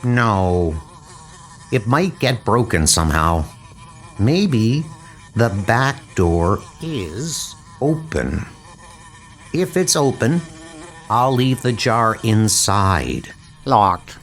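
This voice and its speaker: A man's voice: fairly low pitch, big pitch swings, very slow, long pauses, voice pushed down, full character voice. A man voicing an earnest, polite schoolboy insect collector in a comic book dub, speaking precisely. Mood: musing.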